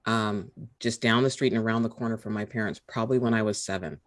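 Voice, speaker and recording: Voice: mid-range voice, fairly even intonation, quick pace. A speaker from California who trained in law, talking in a reflective, storytelling way. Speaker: lawyer, podcast guest. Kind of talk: casual conversation.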